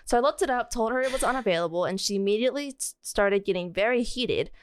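A woman's voice: fairly high pitch, fast talker, slight background noise. A young adult American woman co-hosting a podcast, chatting casually and reading out listener stories with informal asides. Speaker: podcast co-host.

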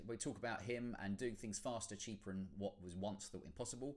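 Man, lowish pitch, podcast host, casual scripted intro, tongue-in-cheek, self-deprecating.

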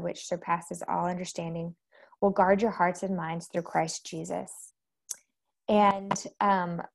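Low, creaky American woman, slightly congested. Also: darkish tone. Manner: candid, conversational, self-deprecating. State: slightly rough, congested voice from allergies. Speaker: host of a faith-based live devotional and podcast. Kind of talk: informal devotional talk.

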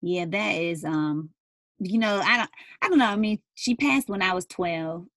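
A young woman in casual conversation with her mother, talking thoughtfully with many pauses and fillers. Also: on the low side, a bit bright, no echo, clean studio sound. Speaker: daughter interviewing her mother.

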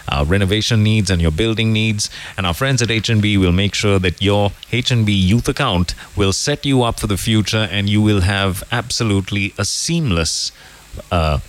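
Jokey male morning radio host. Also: on the low side. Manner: jokey, rambling, self-correcting. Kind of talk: casual morning radio chat with sponsor reads. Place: radio studio.

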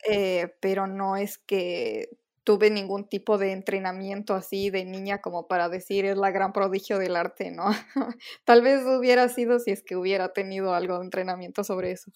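A woman's voice: mid-range voice, fairly even intonation, moderate pace, darkish tone. A young woman from Ecuador, co-hosting a podcast in casual chat, laughing often and using many fillers. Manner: giggly, chatty, frequent fillers. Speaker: podcast co-host.

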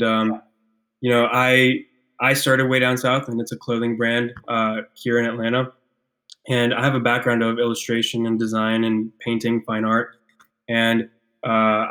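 Soft-spoken Ecuador-born man, flat delivery. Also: mid-range voice. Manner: thoughtful, stammers and restarts often. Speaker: podcast co-host, clothing brand founder. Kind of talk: casual chat.

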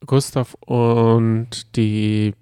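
A man talks casually as a podcast co-host, wry and teasing in everyday language. His pitch is mid-range for a male voice, and the recording is dry with little room sound. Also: level tone, very slow, dark tone, clear, resonant tone, dry recording. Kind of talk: casual chat about a reality show.

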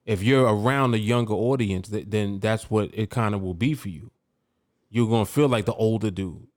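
Man, host, relaxed and joking, lowish voice, dry close sound. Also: moderate pace, no room sound. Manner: laid-back, joking, reads questions aloud. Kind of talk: casual chat reading viewer questions.

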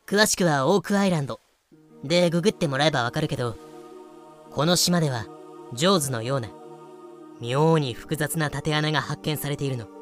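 A woman's voice: low-pitched, a little fast, pauses often, natural voice. A woman acts a knowing, plain-spoken female character in an amateur audio drama. She explains in a dry, matter-of-fact way, with faint music behind her. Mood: sceptical. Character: frank, knowing woman explaining history to a sidekick.

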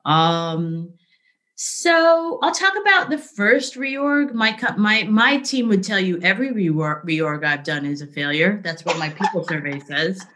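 A middle-aged woman talks through her career stories with lively energy, tossing in "like" and "right?" as she goes. Her voice sits at an ordinary middle pitch. She sounds relaxed and candid, like she is chatting rather than presenting. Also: measured pace. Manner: animated, frequent "right?" and "like", laughs easily. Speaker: interview guest, business leader. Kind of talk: candid conversational storytelling.

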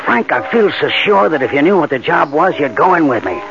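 A man's voice: on the high side, very animated, fairly quick, creaky. A muffled vintage radio recording with music behind it has a scheming New ex-con. The man is a persistent tempter, slangy and coaxing, in 1940s crime drama manner. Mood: insistent. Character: persistent scheming ex-convict pal, a smooth tempter.

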